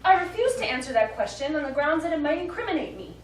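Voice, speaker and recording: Lady acting as a coy shrewd unhappy wife in a stage play, high swoopy voice, recorded far away in echoey room. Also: very animated, quick pace. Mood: coy, wry. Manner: coy, sardonic, pointed. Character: shrewd, teasing, discontented rich man's wife. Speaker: stage actor. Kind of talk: live stage comedy acting. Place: small theatre stage, distant microphone.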